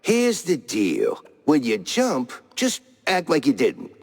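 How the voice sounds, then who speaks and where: A man's voice: on the high side, wildly swooping pitch, takes it slowly, full character voice. An actor playing an eccentric, smug stuntman mouse guru in a cartoon noir game, his faux-serene sage talk slipping into streetwise slang. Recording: long echo, cavernous reverb.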